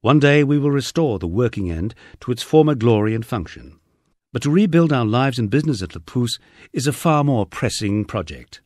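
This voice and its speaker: A man's voice: mid-range voice, expressive, moderate pace, darkish tone, dry recording, studio quality. A man narrating a scripted first-person memoir as an audiobook, in a wry storytelling manner.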